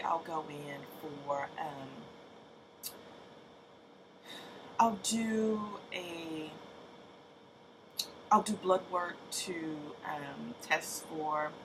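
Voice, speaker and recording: A woman's voice: low-pitched, vocal fry, noisy background. A woman in her late thirties giving a casual, hesitant personal update to camera, full of ums and restarts, sounding tired. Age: 30s. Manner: soft-spoken, hesitant, many ums and restarts. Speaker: vlogger.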